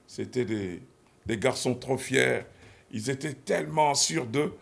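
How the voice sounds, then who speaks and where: A man's voice: medium pitch, vocal fry. A man hosting a TV talk show, chiming in often with short agreements and closing the segment. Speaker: TV host.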